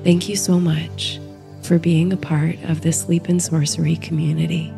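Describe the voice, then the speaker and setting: A woman narrating a bedtime sleep story and guided meditation in a soothing, gentle, hushed and measured way. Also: low voice, little pitch movement, gravelly, over music.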